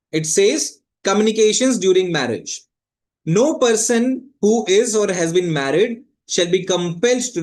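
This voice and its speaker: Male law lecturer, Indian accent, high-set voice, didactic delivery with repeated key phrases. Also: darkish tone. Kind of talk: explanatory lecture.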